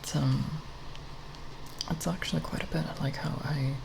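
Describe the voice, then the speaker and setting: A woman speaking in a breathy, creaky whisper for ASMR, rambling in a halting, nerdy way. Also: low-pitched, fairly even intonation, background noise. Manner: halting, unhurried, nerdy musing. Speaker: ASMR video creator. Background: plastic bottle tapping.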